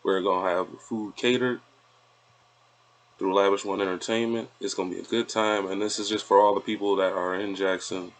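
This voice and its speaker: Guy in his 20s, really low voice, pretty flat, laid back rambling chat. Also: normal speed, low-quality recording. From Flint, Michigan, United States. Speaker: interview guest, event promoter. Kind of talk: casual interview talk.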